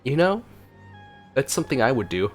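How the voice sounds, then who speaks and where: A man's voice: mid-range voice, big pitch swings, normal speed. A man giving casual gameplay commentary as a streamer, laid-back with wry asides. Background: game music.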